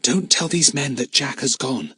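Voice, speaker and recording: A man's voice: mid-range voice, expressive, moderate pace, pushed and bright, breathy edge, no echo, pitched above the performer's own voice. A man voicing an earnest young boy who pleads and bluffs, read in a storybook. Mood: urgent whisper. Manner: eager, pleading. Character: earnest young boy, brave but desperate. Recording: dry close mic.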